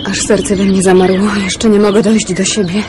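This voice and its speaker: A woman's voice: medium pitch, flat delivery, moderate pace, noisy background, natural voice. An actress playing an affectionate, playful young woman in love in a radio drama. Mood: tender.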